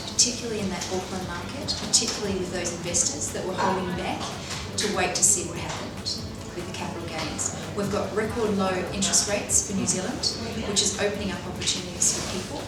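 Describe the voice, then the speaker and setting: A middle-aged woman, answering interview questions in a measured, businesslike way with frequent fillers and restarts. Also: lowish voice. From Australia. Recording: echoey room, far from mic, loud background noise.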